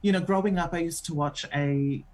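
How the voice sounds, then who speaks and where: A woman's voice: sits low, brisk, darkish tone. A woman with a New Zealand accent, a radio show host being interviewed on air, talking in a chatty way with many filler ums.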